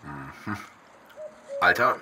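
Slow, low-voiced curt official. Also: male voice, expressive, background noise, heavily acted. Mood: bored. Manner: curt, bureaucratic. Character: curt, bored male intake official. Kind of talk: radio play acting.

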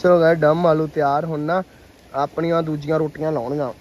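Young guy with a high voice chatting to the camera outdoors, joking complaints, faint background hum. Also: little pitch movement, a little fast, darkish tone, rough recording. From Punjab. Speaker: vlogger. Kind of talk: casual chat and greeting to viewers. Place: outdoors at a farm tubewell among rice fields.

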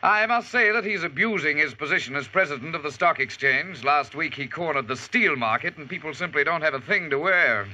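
An actor playing an earnest, fussy assistant to a boss in a 1940s radio drama, deferential and a little hesitant. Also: male voice, a little high, lively intonation, brisk, hardly any pauses, bright, forceful tone, heavily acted. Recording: muffled noisy vintage radio.

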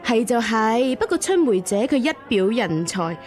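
A woman's voice: fairly high pitch, animated, normal speed, darkish tone, faint music behind, lightly acted. A woman acting in a radio drama as a wily, money-minded old matchmaker, chatty and wheedling.

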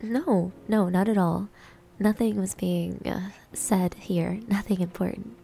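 A woman acts out a proud tsundere maid in an ASMR roleplay. Her medium-pitched voice is soft, breathy and creaky, often dropping to a whisper. She sounds coy, grudging and flustered. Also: fairly even intonation, unhurried, soft background music. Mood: coy teasing.

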